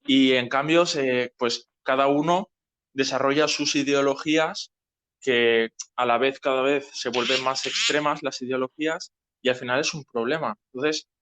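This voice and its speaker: A man's voice: mid-range voice, fairly even intonation, moderate pace, fairly bright tone. A man with an accent from Spain, explaining things casually as a host and often checking that he is being followed. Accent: Spain Spanish. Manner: didactic, checking understanding with tags.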